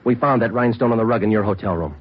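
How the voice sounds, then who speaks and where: Casting: male actor for relentless radio cop, lowish voice, quick clipped delivery, no emotion. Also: close to an everyday voice. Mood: pressing, deadpan. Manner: clipped, matter-of-fact, deadpan. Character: terse, relentless, unemotional male police sergeant. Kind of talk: 1940s radio police procedural acting. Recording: muffled old radio broadcast.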